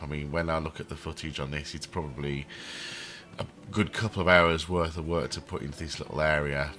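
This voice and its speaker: A man's voice: rumbling low, fairly bright tone. A man with a cold and a throaty voice, rambling with many ums as he comments casually over his game building. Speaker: channel host building a theme park in a game.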